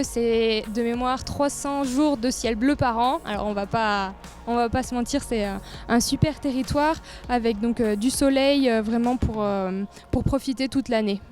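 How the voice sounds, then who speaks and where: A woman giving an informal promotional interview as a tourist office representative, speaking with enthusiasm. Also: on the high side. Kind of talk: informal promotional interview, describing local trails.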